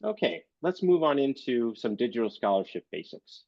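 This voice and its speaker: A man's voice: medium pitch, very animated, normal speed, creaky, no room sound. Stuffy-voiced man giving a talk. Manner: hesitant, many fillers. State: congested, recovering from illness. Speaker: librarian presenter. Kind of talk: online presentation. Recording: dull, dry video-call audio.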